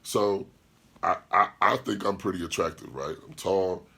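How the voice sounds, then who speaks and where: A man hosting a podcast and talking as a DJ, with a deep voice, speaking bluntly and profanely in an opinionated monologue, firing rhetorical questions and answering live chat comments. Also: normal speed, gravelly, bright, forceful tone, breathy edge. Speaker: podcast host and DJ.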